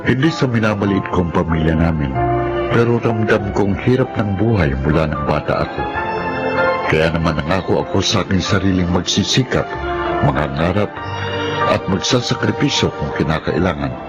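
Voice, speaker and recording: A man's voice: sits low, animated, moderate pace, over loud music. An actor playing a humble, earnest young Filipino man from a poor family in a radio drama. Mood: earnest, resolute.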